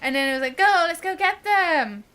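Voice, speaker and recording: Very high, bright-voiced woman streamer. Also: animated, moderate pace. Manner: chatty, giggly, mimics the characters. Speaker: game streamer. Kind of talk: casual live-stream commentary.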